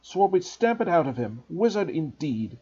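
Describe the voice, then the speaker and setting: A man's voice: on the high side, big pitch swings, moderate pace, warm tone, breathy edge, voice pushed up. A man voicing a blustering, indignant middle-aged uncle. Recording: dull lo-fi recording.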